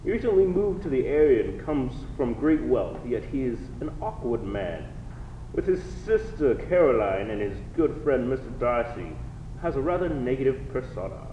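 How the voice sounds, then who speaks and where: A man's voice: mid-range voice, sing-song, normal speed, lightly acted. A man playing a formal narrator, reading a scripted 1920s radio drama parody as a storyteller. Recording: tinny, echoey, distant, faint hiss.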